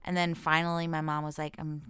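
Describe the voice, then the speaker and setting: A woman's voice: low-pitched, flat delivery, a little fast, fairly bright tone, smooth, no echo. An American woman chatting casually as an interview guest, informal and self-deprecating, thinking aloud as she goes. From Washington state, United States. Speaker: comedian and actress, interview guest. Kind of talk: casual chat.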